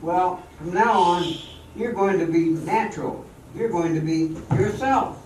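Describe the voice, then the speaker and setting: Older guy acting bossy hopeful showman in a radio play, slightly high voice, mic is far off. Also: unhurried, a little mellow. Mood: determined. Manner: emphatic, instructing. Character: bossy, hopeful male song-and-dance showman. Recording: echoey hall, distant mic, faint noise.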